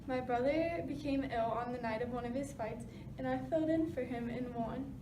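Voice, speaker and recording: Casting: woman playing 1920s boxing champ in mock interview, high voice, scripted answers, distant in an echoey room. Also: normal speed, background noise, close to an everyday voice. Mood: matter-of-fact.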